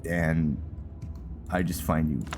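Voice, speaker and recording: A man giving casual gameplay commentary in a halting, muttering way to himself. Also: a bass voice, fairly even intonation. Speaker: gaming video host. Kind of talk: casual gameplay commentary and roleplay, reading in-game text aloud. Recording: loud music behind the voice. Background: loud game music.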